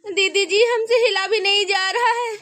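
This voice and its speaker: A woman's voice: very high voice, steady tone, normal speed, fairly bright tone. A woman playing a sobbing pregnant young wife in pain. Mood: pleading. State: crying.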